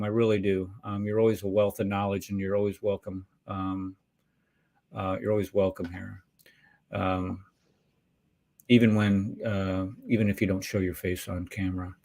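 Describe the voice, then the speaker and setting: A man's voice: lowish voice, a little mellow. Easygoing man hosting a chat. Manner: easygoing, chatty. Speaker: host. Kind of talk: casual online discussion.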